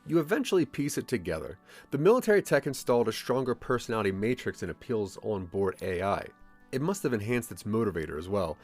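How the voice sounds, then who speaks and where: A man's voice: lowish voice, sing-song, fast, faint music behind. A man narrating a scripted audio drama in the second person.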